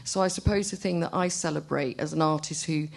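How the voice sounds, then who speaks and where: A woman artist speaking haltingly and off the cuff at a public discussion, often repeating words. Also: low voice, vocal fry. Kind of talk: spontaneous remarks at a public discussion. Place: arts centre event room. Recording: live event recording.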